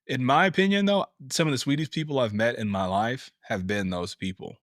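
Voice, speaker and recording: Podcast co-host, young man, lively and laughing, mid-pitch, dry close sound. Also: brisk, no room sound. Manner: animated, laughs often, swears casually. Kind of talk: casual chat.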